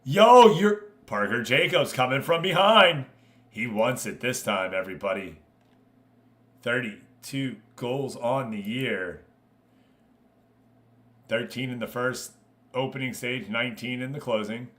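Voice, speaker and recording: A man's voice: mid-range voice, animated, measured pace, long pauses, fairly bright tone. A man hosting an online football management game league, chatting casually and informally with his viewers as he commentates.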